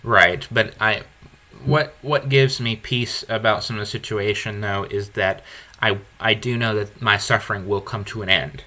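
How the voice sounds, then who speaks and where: A man hosting a podcast, talking earnestly and candidly about his faith in a deep low baritone, often restarting his phrases. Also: fairly even intonation, normal speed, bright, forceful tone. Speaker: podcast host. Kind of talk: earnest conversational podcast talk.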